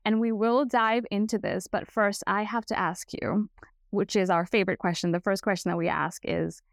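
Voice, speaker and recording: A woman's voice: medium pitch, fairly even intonation, moderate pace, vocal fry, dry recording, studio quality. A woman hosting a podcast in an upbeat, enthusiastic and effusive way. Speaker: podcast host. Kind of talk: upbeat podcast interviewing with a scripted intro.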